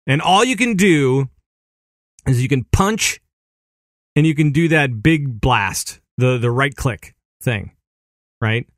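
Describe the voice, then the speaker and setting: A man hosting a gaming podcast, talking in an animated, excitable way and laughing easily. His voice sits in a middle register. It is recorded close and dry, with no room sound. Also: medium pitch, slow pace, pauses often, dry recording. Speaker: podcast host. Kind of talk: casual gaming chat.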